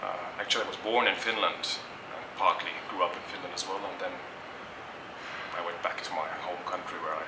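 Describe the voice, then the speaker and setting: A man's voice: deep voice, fairly even intonation, fairly quick. A middle-aged man from Germany answers interview questions thoughtfully, hesitating and restarting often. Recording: echoey, distant mic, noisy background.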